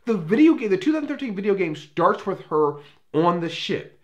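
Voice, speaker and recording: A man's voice: high-pitched, big pitch swings, moderate pace. Opinionated man giving a casual film review. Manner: opinionated, emphatic; profane. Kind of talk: casual unscripted review commentary.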